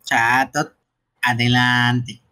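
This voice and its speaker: A man's voice: mid-range voice, flat delivery, drawn-out words, sharp tone. A man voicing a cheerful, earnest creature guild master in a live amateur dub of a game.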